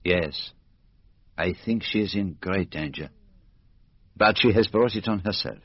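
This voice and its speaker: An actor playing a shrewd, courteous middle-aged Belgian detective with a French-speaking Belgian accent and formal, deliberate phrasing in a radio drama. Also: male voice, sits low, dry recording, lo-fi sound. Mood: courteous, probing.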